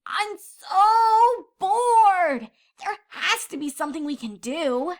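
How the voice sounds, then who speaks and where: A woman voicing a brash, restless, excitable little tomboy filly in a cartoon fan story, dramatic and eager. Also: extremely high pitch, slow pace, voice pushed up. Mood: bored.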